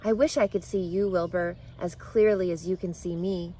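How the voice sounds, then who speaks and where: A woman's voice: fairly low pitch, steady tone, normal speed, slight background noise, lightly acted, poor audio. A woman voicing a refined, kindly female spider from a children's storybook, composed, articulate and self-assured. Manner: composed, articulate, gently matter-of-fact. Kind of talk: children's storybook read-aloud.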